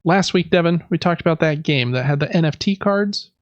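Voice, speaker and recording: A man's voice: fairly high pitch, fairly quick, dry recording, highs cut off. A man hosting a gaming podcast, running through news in a casual, conversational and informal way. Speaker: podcast host.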